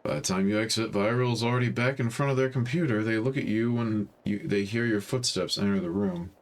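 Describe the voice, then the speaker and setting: A man's voice: lowish voice, brisk. A man reading a visual novel aloud as storytime narration, breaking off into informal, slangy commentary.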